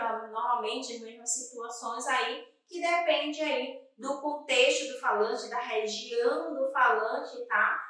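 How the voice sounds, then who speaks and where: A woman's voice: sits high, sharp tone, heavy reverb, distant mic, rough recording. A young woman from Northeast Brazil with a Northeastern Brazilian accent, teaching an exam-prep lesson and checking in with "tá?" after nearly every point. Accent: Northeastern Brazilian Portuguese. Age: young adult. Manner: explanatory, frequent "tá?" check-ins.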